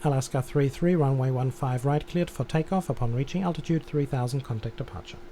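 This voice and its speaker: A man with a soft, mellow voice streams an air traffic control game. He gives tower instructions and adds his own commentary over light background noise. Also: medium pitch, a little fast.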